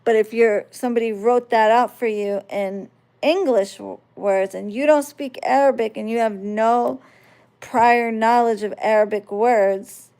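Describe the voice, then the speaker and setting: American woman just talking to her viewers, slow and kinda gravelly, keeps asking if it makes sense. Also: on the high side, fairly even intonation, dark tone. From United States. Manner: conversational, checks listeners understand. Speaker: spiritual channel host. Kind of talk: casual talk to viewers.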